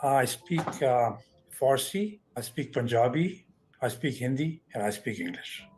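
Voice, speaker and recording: A filmmaker from Afghanistan recalls his early years in a calm, measured way. His voice sits at an ordinary middle pitch, and he often circles back and restarts his phrases. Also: soft background music, poor audio. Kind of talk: personal recollection.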